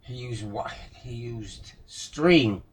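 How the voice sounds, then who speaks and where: A man giving casual, unscripted commentary as he works on a vintage radio, musing aloud in a halting way to himself and his viewers. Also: medium pitch, husky. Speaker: vintage radio restorer showing a repair.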